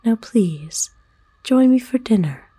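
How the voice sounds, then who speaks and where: Woman whispering as a kind, breathy forest witch. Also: a little high, expressive, dark tone, no room sound, heavily acted. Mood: gently coaxing. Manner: soothing, folksy, unhurried. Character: kind, nurturing forest witch, playful and gently old-fashioned. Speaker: ASMR voice actor. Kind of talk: whispered ASMR roleplay.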